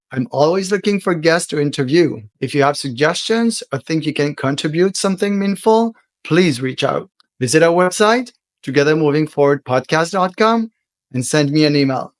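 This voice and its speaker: A French Canadian man, a native French speaker, hosting his own podcast in an earnest, heartfelt monologue that slows down for emphasis. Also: on the high side, lively intonation, no room sound, clean studio sound. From Quebec, Canada. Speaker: podcast host.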